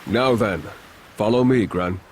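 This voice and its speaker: An actor playing a courteous, dutiful war chief in a video game, speaking in a composed and formal manner. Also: male voice, fairly low pitch, slight background noise, close to an everyday voice. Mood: calm, dutiful. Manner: formal, measured wording. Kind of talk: video game cutscene acting.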